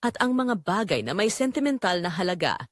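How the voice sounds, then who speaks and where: A woman with a Filipino accent reading a scripted first-person romantic drama as a story narrator, in a measured, dramatic storytelling manner. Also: mid-range voice, animated, dry recording, clean studio sound.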